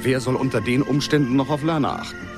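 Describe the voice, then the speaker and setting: An actor plays a put-upon man who hates hard labour and grumbles theatrically. His voice sits at a middle pitch, in a radio drama with loud music underneath. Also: steady tone, fast talker, lightly acted. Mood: self-pitying. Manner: complaining, dramatic. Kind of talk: radio play dialogue.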